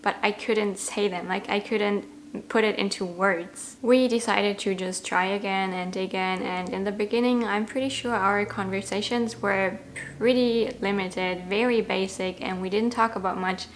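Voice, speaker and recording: A woman's voice: medium pitch, steady tone, moderate pace, a little hiss or hum. German woman in her twenties recounting. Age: 20s. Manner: reflective, candid, conversational. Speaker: language-learning vlogger. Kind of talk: personal storytelling to camera.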